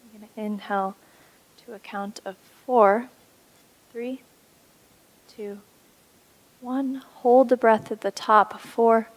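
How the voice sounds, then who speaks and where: A woman instructor leading a guided meditation in a soothing, unhurried way with pauses. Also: a little high, darkish tone, poor audio.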